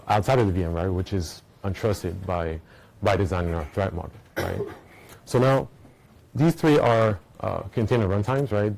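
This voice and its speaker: Low, mellow-voiced man giving a tech talk. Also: low-quality recording. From Belgium. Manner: casual, explaining, frequent "right?" and "uh" fillers. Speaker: conference speaker. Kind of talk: technical conference talk. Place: conference room with an audience. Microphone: small recording mic.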